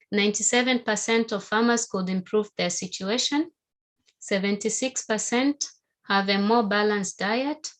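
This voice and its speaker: A woman from Tanzania, with Haika as her first language, giving a steady, explanatory webinar presentation over a video call. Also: mid-range voice. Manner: steady, explanatory, with occasional fillers.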